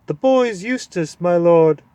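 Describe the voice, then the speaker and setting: A man voicing a flustered old male owl courtier who hoots and strains to be loud, in a storybook reading. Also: high-pitched, animated, takes it slowly, smooth, no room sound, voice pushed up. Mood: straining loud. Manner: hooting, fussy, straining to be loud.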